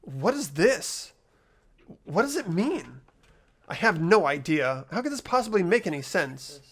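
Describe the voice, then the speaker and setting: A man's voice: sits high, big pitch swings, measured pace, a bit bright, breathy edge, exaggerated voice. A man voicing an earnest, anxious teenage boy investigator, narrating and questioning in a video game readthrough. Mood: troubled, questioning. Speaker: host of playthrough. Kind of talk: amateur game voice acting.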